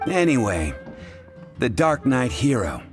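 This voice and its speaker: A genial, wry tavern bartender. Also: male voice, mid-range voice, sing-song, takes it slowly. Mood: wry storytelling. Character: genial, worldly tavern bartender, amused and easygoing man. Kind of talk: video game voice acting. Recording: faint music behind the voice.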